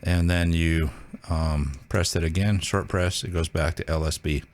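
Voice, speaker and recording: Low-voiced man giving a casual walkthrough. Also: steady tone, dry recording, clean studio sound. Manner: informal, halting, thinking aloud. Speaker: video host demonstrating a radio. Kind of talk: casual hands-on tutorial.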